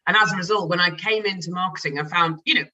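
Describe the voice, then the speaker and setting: A woman, a company chief executive, answering interview questions with lively, effervescent energy, though she is a bit tired. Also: on the low side, recorded from a distance. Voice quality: lively, fast-talking, effervescent.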